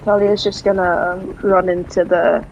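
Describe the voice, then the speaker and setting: Dark-voiced woman, dull recording. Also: fairly low pitch, level tone, moderate pace, creaky. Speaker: tabletop game player. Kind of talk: casual in-character game play. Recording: dull audio, faint background noise.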